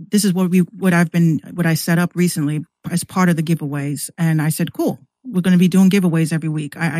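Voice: medium pitch, darkish tone, no room sound. Casual podcast talker with a close, dry sound. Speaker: podcast guest, radio show host. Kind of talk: casual conversational talk.

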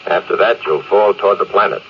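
A man's voice: deep voice, brisk. A man playing a stern, stoic spaceship captain in an old-time radio drama, terse and authoritative. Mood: grim, controlled. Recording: muffled, hissy vintage radio recording.

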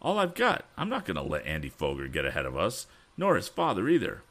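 Man reading young inventor, eager and hearty, bright lively delivery. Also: deep voice, fairly quick, exaggerated voice.

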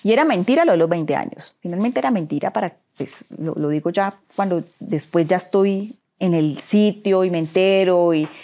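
A woman's voice: fairly low pitch, normal speed, hardly any pauses, warm tone. A woman with a Colombian accent giving an earnest personal account in an interview, often repeating phrases for emphasis. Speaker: interview guest. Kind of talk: emotional personal testimony.

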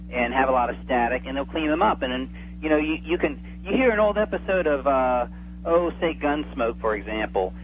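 Over a thin phone line with faint hum, a man of medium pitch talks as an interview guest. He is enthusiastic and discursive, chatting informally as he explains things. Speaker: interview guest, old-time radio enthusiast. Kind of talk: casual interview chat. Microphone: telephone.

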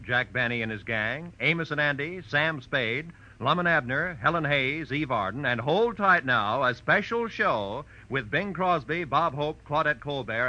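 American guy doing showy 1940s radio announcing, really sharp voice, muffled old recording. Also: fairly high pitch.

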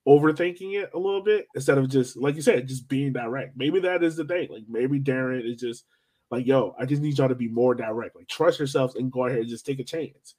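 A man's voice: medium pitch, fairly quick, a little mellow. Casual man talking soccer on a podcast. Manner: conversational, slangy, thinking aloud. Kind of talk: casual sports discussion.

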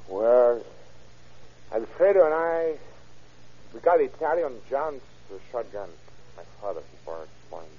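A young Mexican suspect in a vintage radio crime play, with a husky voice that swings widely in pitch. He pleads in halting, Spanish-accented broken English. The old recording is muffled. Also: animated. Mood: resigned, confessing. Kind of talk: 1930s radio acting.

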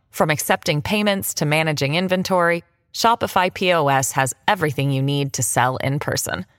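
A woman's voice: low voice, fairly bright tone, dry recording, studio quality. A woman reading a scripted podcast ad with a playful touch. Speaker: ad read. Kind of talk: scripted ad read.

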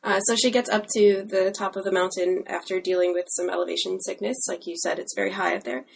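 A woman's voice: mid-range voice, fairly even intonation, fast, vocal fry, slightly rough. A woman interviewing a guest in a conversational style, putting questions about a book.

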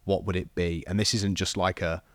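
A man with a low voice hosts a podcast interview, setting up questions in a conversational style. The recording is very dry, with no room sound. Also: flat delivery, fairly quick, no room sound. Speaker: podcast host.

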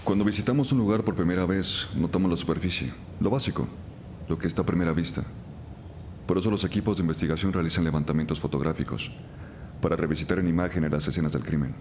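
An actor playing a brisk, analytical forensic investigator in a crime audio drama, explaining methodically. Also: male voice, sits low, darkish tone, a little hiss or hum, natural voice. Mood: analytical, urgent.

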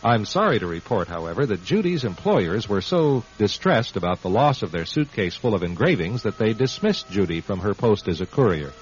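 A man's voice: lowish voice, big pitch swings, a little fast, few pauses. A man hosting and narrating a scripted radio drama in a wry, tongue-in-cheek storytelling manner. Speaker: host and narrator. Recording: dull, muffled radio broadcast recording. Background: music.

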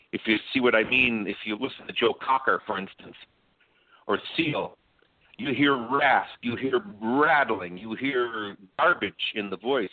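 A man's voice: mid-range voice, no room sound. A man who sings opera, a guest in a remote interview, explaining at length with frequent ums. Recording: dry tinny call line.

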